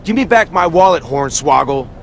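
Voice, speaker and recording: A man's voice: on the high side, animated, moderate pace, gravelly, a little hiss or hum. A man playing a wry, wisecracking wrestler in a video game cutscene. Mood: exasperated.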